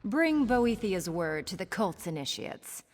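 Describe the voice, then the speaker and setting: A woman's voice: mid-range voice, sing-song, a little slow, in a large hall. An actress playing a scheming, cynical pirate woman in a video game, with a sardonic, conspiratorial manner. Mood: sly, cynical. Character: scheming roguish female pirate, sly and cynical. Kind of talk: video game character dialogue.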